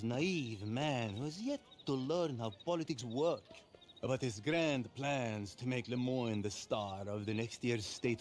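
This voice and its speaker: An actor voicing a refined, menacing crime boss with an Italian accent, speaking formally and imperiously in a game cutscene. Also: male voice, medium pitch, a little hiss or hum, close to an everyday voice. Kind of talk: video game cutscene acting.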